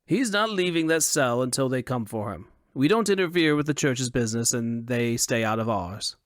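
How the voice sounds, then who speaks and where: A man's voice: medium pitch, expressive, moderate pace, no room sound, studio quality, natural voice. A wary town militiaman, voiced by a male narrator, with a mid-range voice. He is guarded and firm, a jailer who could be bought, in an audiobook performance. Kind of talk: audiobook character acting.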